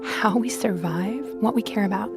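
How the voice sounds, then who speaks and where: A woman, a podcast guest explaining science in an expressive, articulate, conversational way. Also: mid-range voice, normal speed, music in the background.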